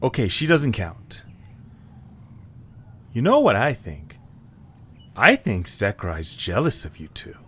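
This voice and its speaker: A man's voice: lowish voice, huge pitch swings, slow pace, long pauses, fairly bright tone, breathy edge, exaggerated voice. A man acting an earnest, encouraging young male character in a scripted audio story, speaking conversationally. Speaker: narrator and voice of the main character.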